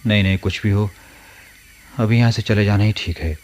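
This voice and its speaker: A man's voice: fairly low pitch, fairly even intonation, moderate pace, a little mellow, close to an everyday voice. A man acting an ardent but uneasy village lover in a Hindi radio play, theatrical and fervent. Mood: torn.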